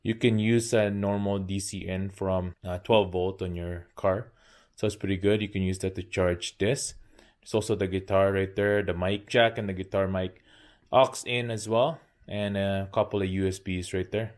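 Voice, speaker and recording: A man's voice: low-pitched, steady tone, normal speed. A man narrating a casual hands-on product demo, pointing things out piece by piece in short phrases. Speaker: product reviewer.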